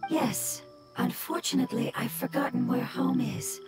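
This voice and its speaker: A woman's voice: high-pitched, normal speed, over loud music, lightly acted. A woman voicing a wise mushroom spirit in a video game, with formal, precise wording. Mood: wise, calm.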